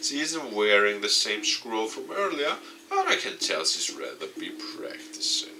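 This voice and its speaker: A man reading a visual novel game aloud with commentary for his video, in a halting, stumbling delivery. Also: fairly low pitch, wildly swooping pitch, distant mic, poor audio. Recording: off-mic, music behind.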